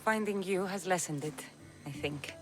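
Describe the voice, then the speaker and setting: A woman's voice: fairly low pitch, normal speed, over music, natural voice. A woman playing a fierce, earnest young Greek mercenary and protective daughter in a dramatic video game. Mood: warm, resolute.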